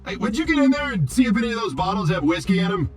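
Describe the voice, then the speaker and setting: Pompous, highly animated robot film director. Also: male voice, racing, soft background music. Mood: self-important. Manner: pompous, exasperated, theatrical. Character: arrogant, impatient robot Hollywood film director, pompous diva. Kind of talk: video game character acting.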